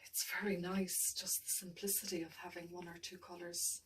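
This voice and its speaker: A woman's voice: lowish voice, little pitch movement, moderate pace, fairly bright tone, recorded from a distance, slight background noise, poor audio. A woman art tutor talking through her painting as she works, thinking aloud, often mumbling and trailing off.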